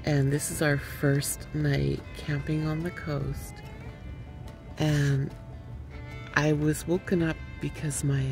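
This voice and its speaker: A woman talking casually to a handheld phone camera from inside a small camper van, chatty and relaxed with easy laughs. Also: low-pitched, creaky. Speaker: van life vlogger. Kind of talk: casual vlog narration.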